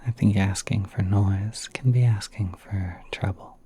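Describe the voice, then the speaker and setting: A very mellow, breathy spider prince played by a man murmurs in a soothing ASMR roleplay. His delivery is low and even, often dropping to a whisper. He is courteous and a little shy, musing hesitantly as he talks. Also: dry recording. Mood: welcoming, musing. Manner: hesitant, polite, musing, unhurried. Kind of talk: ASMR roleplay, soft-spoken monologue.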